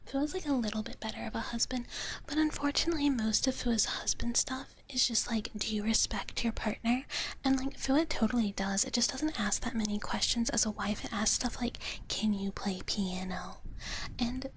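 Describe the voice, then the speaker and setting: High-pitched woman whispering softly for ASMR. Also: moderate pace, slight background noise. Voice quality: soft, breathy whisper. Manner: rambling, playful, intimate. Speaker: ASMR livestreamer. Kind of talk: ASMR, casual chat with viewers. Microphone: close ASMR microphone.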